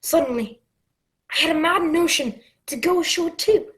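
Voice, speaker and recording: A woman playing an excitable boy adventurer, narrating a radio drama with dramatic storytelling. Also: very high voice, normal speed. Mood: breathless, alarmed. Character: excitable boy adventurer telling his tale.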